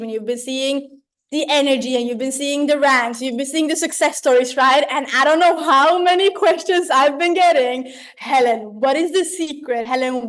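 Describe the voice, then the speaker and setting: High-voiced young woman, chatty mentor. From Sweden. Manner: chatty, animated, slangy, checks in with "right?" and "you know?". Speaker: mentor leading an online training. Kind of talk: informal motivational talk to a group on a video call. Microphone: video call.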